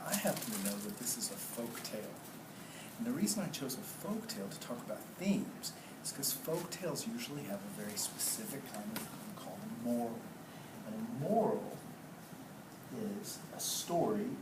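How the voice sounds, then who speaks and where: A man teaching a class, reading a story aloud expressively and putting questions to the children. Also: mid-range voice. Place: indoor classroom. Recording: roomy, noisy classroom, distant mic.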